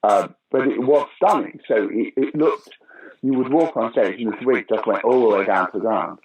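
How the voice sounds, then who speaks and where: A man's voice: medium pitch, normal speed, slight background noise, poor audio. An older British man, a pantomime dame actor, telling stage stories in a casual interview. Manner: storytelling, animated anecdotes.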